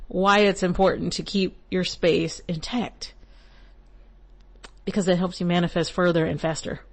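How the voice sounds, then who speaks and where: A young millennial woman hosting a podcast, giving casual spiritual advice in a chatty, rambling way with frequent asides and laughs. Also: medium pitch. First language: English. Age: young adult. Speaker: podcast host.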